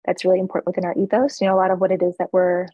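A woman's voice: fairly low pitch, monotone, very fast, husky, dry recording, highs cut off. A woman from the East Coast who owns a flower shop, talking candidly in a casual interview with fillers and laughs. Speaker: florist and shop owner.

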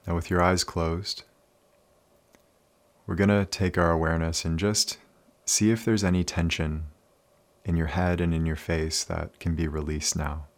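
A man leading a guided meditation in a calm, soothing, unhurried manner with many pauses. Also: deep voice.

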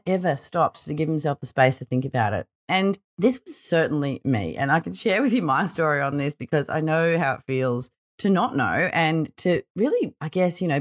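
Middle aged aussie woman doing a solo podcast, low voice bit croaky, chatty and personal. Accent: Australian. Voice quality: slightly croaky early-morning voice. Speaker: podcast host. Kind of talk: informal solo podcast monologue.

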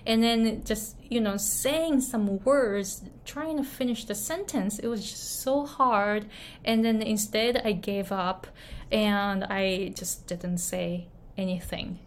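A woman from Japan teaching voice in a video lesson, talking gently with many restarts and fillers. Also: mid-range voice, takes it slowly, slight background noise. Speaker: voice coach.

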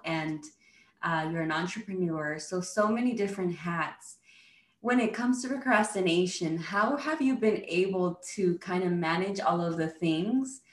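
A woman's voice: on the low side, roomy sound. A woman hosting a podcast, enthusiastic and welcoming, who mixes Spanish words into her English. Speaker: podcast host. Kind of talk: upbeat podcast interviewing.

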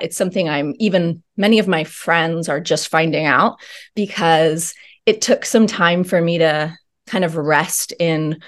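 A woman talking as an interview guest in a thoughtful, earnest way with many pauses and ums. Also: low voice. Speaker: podcast guest. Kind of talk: reflective interview talk.

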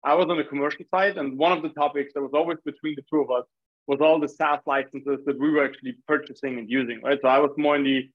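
A man's voice: fairly high pitch, steady tone, fast, dull audio. A man from Austria with an Austrian accent, talking casually as a founder being interviewed on a podcast. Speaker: startup founder, podcast guest.

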